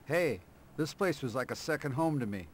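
A man's voice: medium pitch, animated, normal speed, a little hiss or hum, close to an everyday voice. A man playing a laid-back veteran skateboarder in a video game. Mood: appreciative. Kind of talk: video game cutscene acting. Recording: loud music over dialogue.

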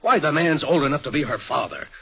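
A man's voice: a little high, lively intonation, fast talker, creaky, bright, forceful tone, exaggerated voice. An actor playing an earnest, insistent stockbroker father in a 1940s radio drama. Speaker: client. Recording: dull old radio broadcast.

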